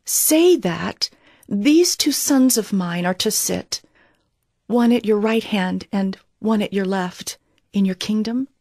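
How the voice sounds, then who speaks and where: An actress playing an earnest mother petitioning for her sons in a Bible audio drama, entreating and deliberate. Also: medium pitch, darkish tone, no echo, studio quality. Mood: earnest entreaty.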